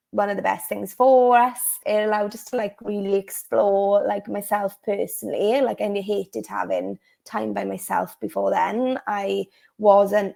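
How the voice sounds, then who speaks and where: A woman's voice: medium pitch, vocal fry, darkish tone. A woman from South Wales with a Welsh accent, telling her story as a guest in a casual interview, full of "like" and "um" fillers. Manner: chatty, many "like" and "um" fillers. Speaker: life coach guest.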